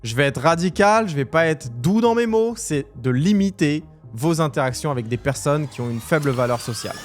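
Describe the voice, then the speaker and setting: A man's voice: fairly high pitch, animated, a little fast. Emphatic young man over music. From Algeria. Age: 20s. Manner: direct, emphatic, addresses viewer informally. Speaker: entrepreneur vlogger. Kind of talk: motivational talk to camera.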